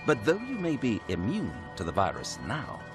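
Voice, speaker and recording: A man's voice: mid-range voice, wildly swooping pitch, moderate pace. An actor playing a calculating, ominously polite male scientist in a game cutscene, speaking formally and theatrically. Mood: calculating, courteous. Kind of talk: scripted game cutscene dialogue. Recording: music behind the voice.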